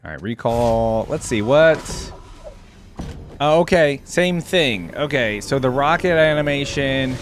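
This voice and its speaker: Man with lively pitch, reacting casually. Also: slow pace, a little hiss or hum. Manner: thinks aloud, chatty, informal slang. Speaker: host of a reaction video. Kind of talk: casual off-the-cuff reaction commentary.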